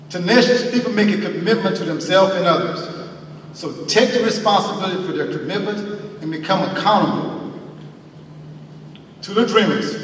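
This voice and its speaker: A man's voice: high-pitched, slight background noise. A middle-aged man giving an earnest acceptance speech at a podium in a large banquet hall, heard from the audience. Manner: earnest, slow emphatic phrasing with thanks and faith. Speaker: hall of fame inductee. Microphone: podium mic, recorded from far in the audience. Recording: echoey hall audio from far off.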